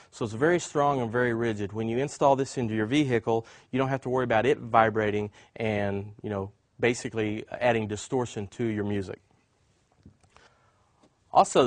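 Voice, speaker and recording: Man, mid-pitched voice, dry close sound, product demonstrator, instructional, explanatory step-by-step delivery. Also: no echo.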